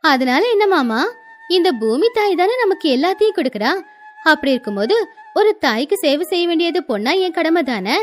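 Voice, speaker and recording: A woman's voice: sits high, expressive, fairly quick, soft background music. A woman voicing an earnest, dutiful young village daughter-in-law who speaks respectfully in a moral-story cartoon. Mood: confident, respectful.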